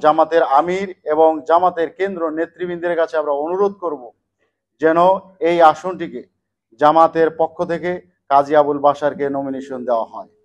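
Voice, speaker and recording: A man's voice: on the high side, normal speed, dull audio. A man making a formal spoken appeal.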